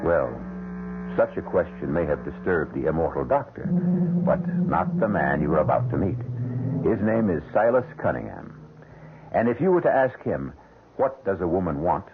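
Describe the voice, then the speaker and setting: A man narrating an old-time radio drama as its host, with a measured, wry, theatrical delivery. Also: low voice, lively intonation. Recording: muffled old radio broadcast, faint noise.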